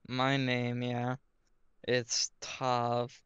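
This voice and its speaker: A man's voice: mid-range voice, monotone, extremely slow, fairly bright tone, smooth, dry recording, highs cut off. A man chatting casually as a guest on a podcast. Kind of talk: casual chat.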